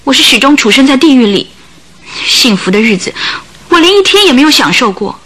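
A woman's voice: sits high, very animated, a little fast, sharp tone, exaggerated voice. An actress in a radio play voicing a bitter, sorrowful circus trainer's wife, telling her hard life in a plaintive, dramatic way with sighs. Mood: hateful, bitter.

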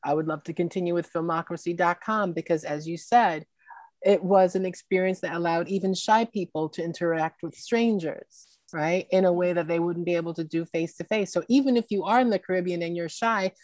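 A woman who organizes a film festival, answering interview questions in an earnest, expressive way and often adding "right?". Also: fairly low pitch, brisk, dry recording.

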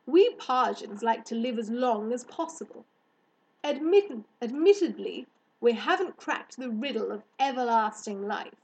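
Woman putting on a haughty, slow-spoken magical alien hare. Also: fairly high pitch, creaky, darkish tone, poor audio. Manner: pompous, drawn-out emphatic delivery.

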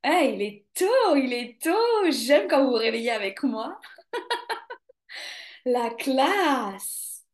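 A woman's voice: high-pitched, very animated, slow pace, long pauses. Chatty woman yoga teacher, roomy echo. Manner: chatty and spontaneous, many hesitations. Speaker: yoga teacher hosting a live stream. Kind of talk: casual live chat greeting viewers. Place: home near the coast.

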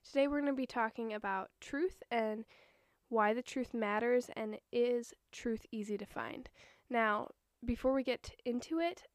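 A young woman hosting her own podcast, talking in a chatty, earnest way with a voice that is hoarse from a cold. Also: fairly high pitch, a little slow, no echo, studio quality. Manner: earnest, chatty, a little nervous. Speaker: podcast host. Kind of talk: casual solo podcast talk.

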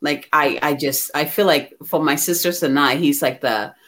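A woman from Ghana talking candidly and reflectively about herself as a guest, in an expressive conversational way. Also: low-pitched, normal speed. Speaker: guest receiving a card reading.

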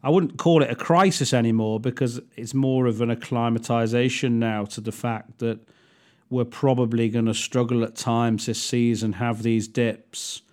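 A man hosting a casual football fan podcast, leading the chat in a measured, thoughtful way. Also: medium pitch, a little mellow. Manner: measured, thoughtful, leads discussion. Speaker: podcast host.

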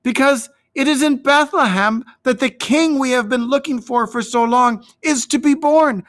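A man's voice: medium pitch, huge pitch swings, takes it slowly, sharp tone, full character voice. A man voicing an eager, hot-headed young shepherd boy in an earnest, dreamy storybook manner.

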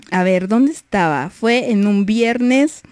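A woman's voice: mid-range voice, expressive, slow, darkish tone, dry recording. A young Mexican woman hosting a livestream, chatting casually, reading messages and giggling often. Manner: giggly, chatty, many fillers. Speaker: livestream host.

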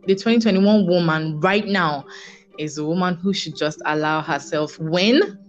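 A Nigerian woman with a fairly low voice talks casually as an interview guest. She is emphatic, repeating phrases to drive them home, on a slightly dull recording with faint music behind. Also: normal speed. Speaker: podcast guest, screenwriter. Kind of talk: casual conversational interview answers.

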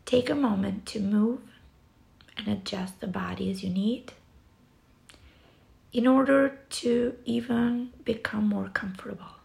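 A woman leads a guided meditation very slowly, leaving long pauses between phrases. Her voice is soft and breathy, with heavy vocal fry running through it. The pitch sits in a middle range for a woman, and the delivery is soothing. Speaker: yoga nidra guide.

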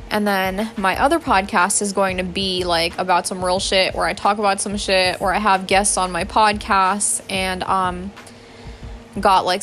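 A young woman talks through her feelings in a loose, rambling solo podcast, full of fillers and casual swearing. Her pitch sits in an ordinary middle range but barely moves, which makes her delivery flat. Faint music plays quietly underneath. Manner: earnest, spiritual self-talk. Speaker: podcast host. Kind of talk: casual solo venting monologue.

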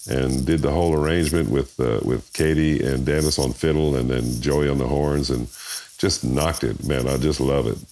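An older man, a bandleader and voiceover artist, chatting in an interview as a rambling storyteller who chuckles often. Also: darkish tone. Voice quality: very deep voice. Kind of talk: casual interview chat.

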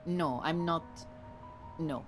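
Exacting Iranian refugee mentor, played by an actress: female, low register, precise and commanding, audio play with faint music bed. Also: slow. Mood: dry, disapproving. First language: Farsi. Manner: formal, precise, bossy, dry. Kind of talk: audio theatre acting.

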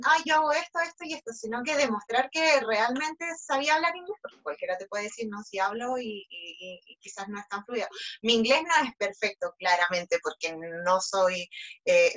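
A young woman with a Chilean accent, a pageant contestant telling her story in a lively, chatty way full of fillers. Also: medium pitch, moderate pace, highs cut off. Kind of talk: interview storytelling.

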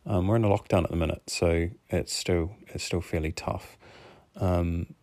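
A man from New Zealand with a New Zealand accent talks through his thoughts on his own podcast, earnest and a little hesitant. His voice sits low and stays level in tone. The sound is dry and close. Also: steady tone, normal speed, darkish tone, dry recording. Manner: earnest, thinking aloud, hesitant. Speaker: podcast host, fitness coach. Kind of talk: unscripted solo podcast musing.

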